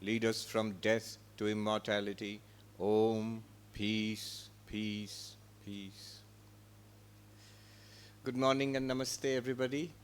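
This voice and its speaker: A man, a monk teaching Vedanta, speaking in a calm, unhurried, gentle manner at a spiritual retreat in an ashram hall. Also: lowish voice, slight background noise. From India. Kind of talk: spiritual lecture.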